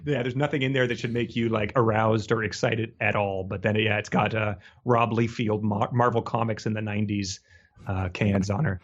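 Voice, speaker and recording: A man's voice: on the low side, fairly even intonation, normal speed, muffled recording. A man co-hosting a podcast in a casual, joking style, riffing comically on a book. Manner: joking, riffing, chuckles through talk. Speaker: podcast co-host.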